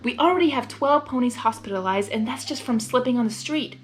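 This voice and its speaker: A woman's voice: on the high side, fairly quick, soft background music, voice pushed up, lightly acted. A woman voicing a stern, protective male pony guard captain with an urgent, demanding manner. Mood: grave.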